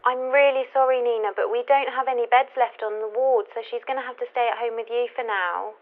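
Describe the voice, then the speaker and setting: A woman's voice: fairly high pitch, steady tone, fast, narrow, tinny audio, lightly acted. A woman playing a hospital ward nurse in a training film, speaking in a casual, polite and agreeable manner. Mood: apologetic, firm. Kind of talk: acted training film scene.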